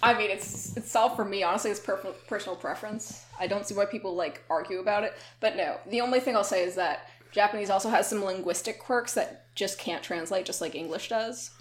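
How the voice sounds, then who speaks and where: A woman co-hosting a podcast in casual chat, opinionated and quick to laugh. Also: medium pitch. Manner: chatty, opinionated, laughs often. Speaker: podcast co-host.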